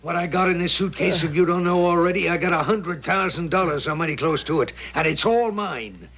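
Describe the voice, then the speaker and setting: Greedy ruthless thief for old radio play, raspy, gravelly, crude folksy talk. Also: male voice, fairly high pitch, a little fast, fairly bright tone. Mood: coldly threatening. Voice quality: husky, gravelly. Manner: folksy, uneducated tough-guy talk. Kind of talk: 1970s radio drama acting. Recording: 1970s radio drama broadcast.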